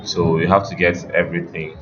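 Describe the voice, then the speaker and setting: A man's voice: low-pitched, flat delivery, brisk, lo-fi sound, noisy background. A man teaching a step-by-step coding lesson, giving students instructions and checking that they follow along. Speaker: web development instructor.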